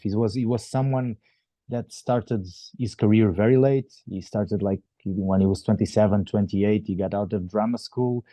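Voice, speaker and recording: Portuguese man, 40s, low mellow voice, dry close recording, thoughtful halting interview guest. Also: dry recording. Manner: thoughtful, halting, many restarts and fillers. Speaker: athlete guest. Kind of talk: interview answers.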